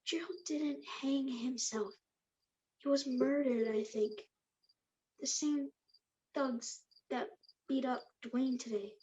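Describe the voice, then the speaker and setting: Woman playing Max, an anxious high-voiced youngster. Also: takes it slowly, long pauses, a little mellow. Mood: uneasy. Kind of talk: table read of a screenplay. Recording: dull lo-fi sound.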